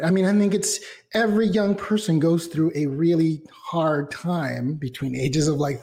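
High-voiced reflective man, interview guest. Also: dark tone. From Mexico. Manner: reflective, talks in long winding sentences with fillers. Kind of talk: casual interview.

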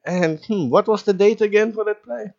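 A man's voice: high voice, normal speed, creaky, darkish tone, lo-fi sound. A man co-hosting a podcast, chatting casually with dry teasing humor and frequent laughter. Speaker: podcast co-host.

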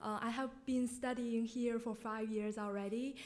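Young woman from China, polite audience question, high flat delivery. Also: normal speed. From northeast China. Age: young adult. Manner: polite, careful non-native phrasing. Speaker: audience member asking a question. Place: lecture forum hall.

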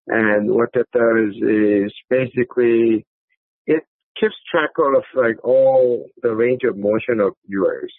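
A man's voice: medium pitch, dry recording. Korean-accented man on a phone-like line. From South Korea. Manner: halting, frequent "like" as filler. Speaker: podcast guest, company executive. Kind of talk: conversational interview answers. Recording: thin, narrow phone-like line.

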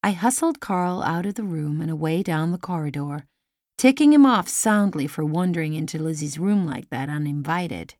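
A woman's voice: low voice, expressive, normal speed, darkish tone, dry recording, clean studio sound. A woman reading a first-person children's story as an audiobook narrator.

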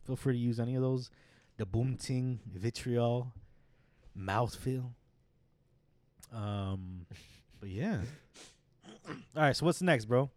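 A young man co-hosting a casual podcast, trading jokes and laughing easily. His voice sits at a middle pitch and sounds dry and close to the mic. He swears casually and talks in loose, joking banter. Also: takes it slowly, pauses often, a little mellow, no room sound. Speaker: podcast co-host.

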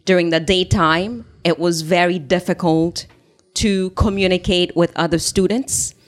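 Low-voiced woman telling her story. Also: steady tone, soft background music. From Haiti. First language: Haitian Creole. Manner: earnest, measured storytelling. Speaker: interview guest, business founder.

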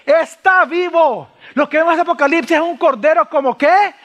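A man preaching a sermon as a pastor, impassioned and dramatic, swinging between loud cries and hushed lines, with rhetorical questions and repeated phrases. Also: extremely high pitch, normal speed, dull audio. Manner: rhetorical questions and repetition for emphasis. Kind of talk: sermon, expository Bible preaching.

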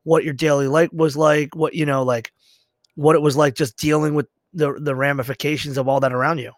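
A man's voice: on the high side, little pitch movement, brisk, dry recording, clean studio sound. A middle-aged man from the United States hosting a conversational interview, speaking in an earnest, emphatic and informal way. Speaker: podcast host.

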